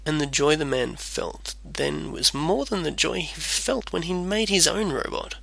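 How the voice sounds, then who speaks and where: Male narrator, storybook reading, slightly high voice, creaky edges, dry close sound. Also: unhurried, fairly bright tone, no room sound.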